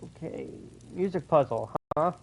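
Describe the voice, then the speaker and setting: A woman's voice: sits low, lively intonation, unhurried, darkish tone. A woman giving casual, sweary reactions while playing a video game. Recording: muffled, faint background noise.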